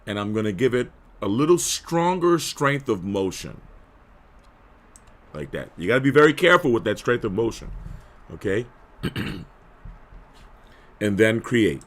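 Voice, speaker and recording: A man with a hoarse voice talking casually through a live tutorial, clearing his throat often and filling with "uh". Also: on the low side, sing-song, a little slow, pauses often. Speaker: livestream host.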